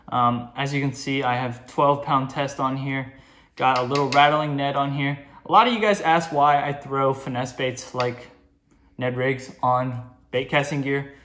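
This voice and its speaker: A teenage boy hosting a fishing channel, showing off his gear in a relaxed, chatty way with plenty of fillers, filmed by a table camera in a small indoor gear room. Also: medium pitch. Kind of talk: casual show-and-tell vlog. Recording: echoey room with noise.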